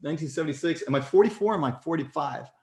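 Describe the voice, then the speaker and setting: A man in his forties with a fairly high voice tells his own story in a relaxed interview. He talks with lots of energy and often jumps up in pitch when something excites him. The room has a noticeable echo. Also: quick pace. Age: 40s. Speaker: podcast guest. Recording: echoey room.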